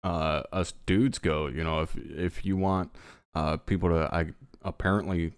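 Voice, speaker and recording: A man's voice: deep voice, steady tone, a little slow, clear, resonant tone, dry recording, clean studio sound. A middle-aged man hosting a podcast, chatting casually with profane, joking banter. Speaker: podcast host. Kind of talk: casual chat.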